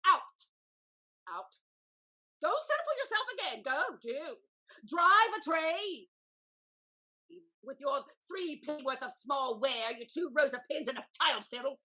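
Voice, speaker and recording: A super high pitched older posh widow, gushy n overwrought, swoops all over, stage comedy drama queen. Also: big pitch swings, measured pace, long pauses, sharp tone, heavily acted. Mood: scornful fury. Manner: gushing, exclamatory, overwrought. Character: melodramatic, vain aristocratic older widow, tyrannical matriarch. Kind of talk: theatrical Restoration comedy reading.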